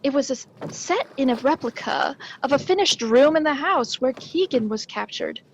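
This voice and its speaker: A woman's voice: sits high, lively intonation, normal speed, dull audio. An actress playing a genteel, inquisitive lady companion in an old-time radio play, polite and earnest. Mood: earnest recounting. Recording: live stream with faint noise.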